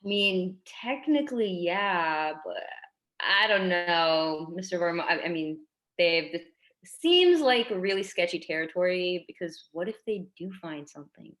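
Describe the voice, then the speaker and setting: Woman acting low-pitched, hesitant young schoolteacher. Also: lively intonation, a bit bright, rough recording. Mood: uneasy, reluctant. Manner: hesitant, delicate, halting. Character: earnest, tactful young schoolteacher in her twenties. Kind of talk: staged reading of a contemporary drama.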